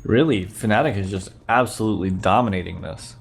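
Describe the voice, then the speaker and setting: A man's voice: on the low side, a little fast, gravelly, slight background noise. A sick man with a slightly nasal voice, casually narrating gameplay footage as a channel host. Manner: relaxed play-by-play narration. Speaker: YouTube host reviewing gameplay.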